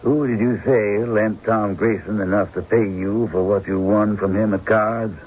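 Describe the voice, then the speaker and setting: An actor playing a stern, methodical prosecuting lawyer with formal, deliberate questioning in an old-time radio drama, on a muffled recording. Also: male voice, fairly low pitch, fairly even intonation, close to an everyday voice. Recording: muffled, faintly noisy radio broadcast.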